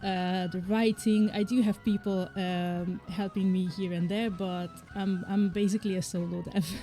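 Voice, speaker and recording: A woman's voice: medium pitch, flat delivery, normal speed, mellow, faint music behind. A woman from Hungary, an indie game developer, chatting casually on a livestream with frequent fillers and light laughs. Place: home, living in the UK.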